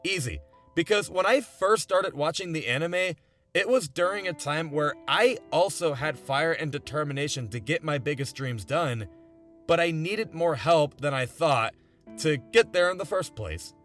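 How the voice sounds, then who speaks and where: Young male video essayist, highish voice with very lively intonation, earnest and conversational, music underneath. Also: moderate pace. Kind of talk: scripted video essay narration. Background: background music.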